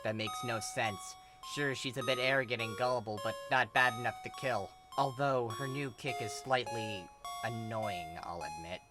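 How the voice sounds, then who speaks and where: Unbothered teen boy, adult male reader, low-key deadpan, dry teasing, music underneath. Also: normal speed, close to an everyday voice. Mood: unimpressed. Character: calm, amused, teasing teenage boy.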